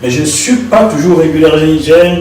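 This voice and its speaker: A man from Gabon, an interview guest telling his story in an animated way with frequent laughter. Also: a little high, a little fast, a little hiss or hum. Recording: echoey room, far from the mic, music behind.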